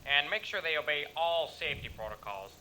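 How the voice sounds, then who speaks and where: A male actor as a fussy command-post boss, officious and a bit hesitant. His voice is extremely bright and forceful and sits fairly high, in a live audio drama recording with light background noise. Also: expressive, fairly quick. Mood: officious, fretful. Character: officious, fretful male park service boss on radio. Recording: noisy live stage recording with ambience.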